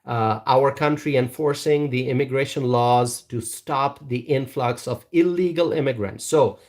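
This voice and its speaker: A man's voice: mid-range voice, unhurried, darkish tone. Earnest man chatting to viewers. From Bangladesh. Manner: earnest, repeats words, many ums and uhs. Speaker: YouTube channel host. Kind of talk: casual livestream chat reading viewer comments. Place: Wisconsin.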